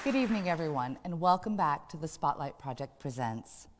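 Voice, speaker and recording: Low-voiced woman host in reverberant space. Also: animated, brisk, over music. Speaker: show host. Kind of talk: scripted variety show hosting. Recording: long hall-like reverb.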